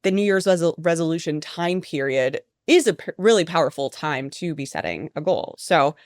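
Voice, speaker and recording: A woman with a low voice speaks as a researcher on a podcast. She explains things in a chatty, conversational way and uses lots of fillers. The sound is dry and close. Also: animated, moderate pace, no echo. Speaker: mindset researcher, podcast guest.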